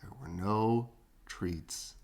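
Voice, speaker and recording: A woman reading a children's storybook aloud expressively, with dramatic pauses and casual asides. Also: a bass voice, a little mellow. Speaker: storybook reader. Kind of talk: animated children's read-aloud with casual chat.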